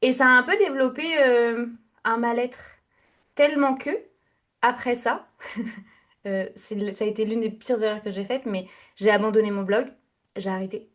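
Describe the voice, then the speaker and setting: A woman's voice: a little high, steady tone, normal speed, a bit bright. A young woman guest on a podcast, telling her story in a candid, chatty way with fillers and restarts. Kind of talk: casual interview storytelling.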